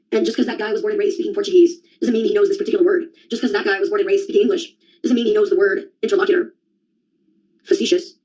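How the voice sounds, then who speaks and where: A woman's voice: mid-range voice, a little mellow, breathy edge, rough recording. A young adult woman in a rambling solo monologue, sardonic and profane, jumping from one topic to the next. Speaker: vlogger.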